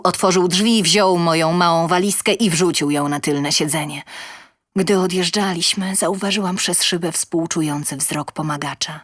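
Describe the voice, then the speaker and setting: A woman reading a scripted first-person short story aloud with expressive, dramatic delivery. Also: on the low side, no echo, studio quality. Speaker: story reader.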